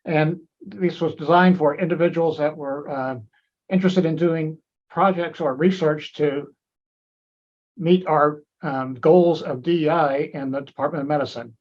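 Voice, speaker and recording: A man's voice: fairly high pitch, fairly even intonation, measured pace, darkish tone, muffled recording. A man moderating a webinar question session at grand rounds, speaking with frequent fillers and restarts. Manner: hesitant, many fillers and restarts.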